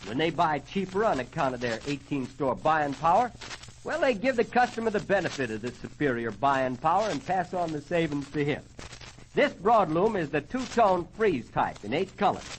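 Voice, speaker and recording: A man's voice: on the high side, big pitch swings, moderate pace. A man with an American accent announcing an old-time radio show in folksy, theatrical cowboy slang. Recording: noisy old radio recording.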